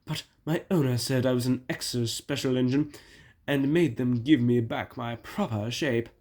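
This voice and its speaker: A man's voice: mid-range voice, moderate pace, a little mellow, natural voice. A man voicing a famous, friendly express steam engine in a children's storybook, genial and self-assured. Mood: friendly, proud.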